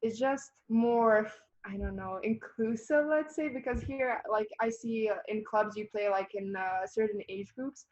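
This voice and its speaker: A woman's voice: fairly high pitch, a little slow, a little mellow, dull audio. A young woman from Bosnia and Herzegovina, a native Bosnian speaker and college volleyball player, answering interview questions in a chatty way, with fillers and frequent laughs. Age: young adult. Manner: chatty, laughs often, many fillers and restarts.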